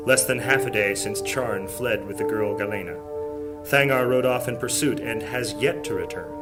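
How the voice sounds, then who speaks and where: A man narrating a fantasy audio drama in a measured, dramatic storytelling style. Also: fairly low pitch.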